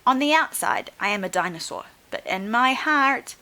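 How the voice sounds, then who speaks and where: A woman's voice: fairly high pitch, lively intonation, moderate pace, pushed and bright, voice pushed up. A woman voicing an earnest, wistful, determined young female dinosaur in a storybook. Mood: earnest, yearning.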